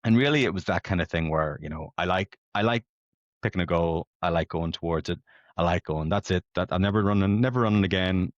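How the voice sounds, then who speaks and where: A man's voice: deep voice, a little fast, no room sound. A man in his late thirties with a Northern Irish accent, chatting casually and ramblingly as a podcast guest. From Belfast, Northern Ireland. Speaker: podcast guest, amateur competitive runner. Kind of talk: casual conversational storytelling. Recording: dull lo-fi podcast audio.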